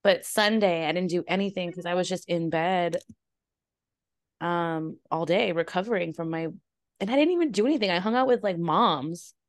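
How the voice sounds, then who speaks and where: Raspy young woman co-host, lowish pitch, relaxed rambling delivery, dry sound. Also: moderate pace, dry recording. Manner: relaxed, rambling, laughs often. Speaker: podcast co-host. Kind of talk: casual chat.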